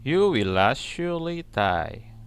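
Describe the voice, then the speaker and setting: A man reading scripture aloud in a deliberate manner, pausing between short, halting phrases. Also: mid-range voice, sing-song, clear, resonant tone. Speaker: channel host reading the Bible aloud. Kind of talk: slow scripture read-aloud.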